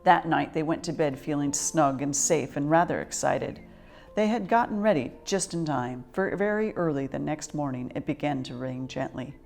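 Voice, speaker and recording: A woman reading a children's picture book aloud as a storyteller, with expressive, measured delivery. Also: low voice, creaky, soft background music.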